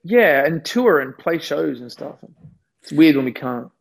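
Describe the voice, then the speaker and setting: A man's voice: on the high side, animated, a little slow, gravelly. Young man, slightly lost voice. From Australia. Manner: rambling, self-deprecating, many restarts. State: losing his voice. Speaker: musician guest. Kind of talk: casual interview chat.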